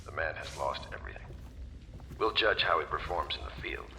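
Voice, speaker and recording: A man playing a stern, calculating conspirator in a video game, measured and gruff, in a reverberant space. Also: extremely low pitch, sharp tone, a little hiss or hum, heavily acted. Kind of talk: video game character dialogue.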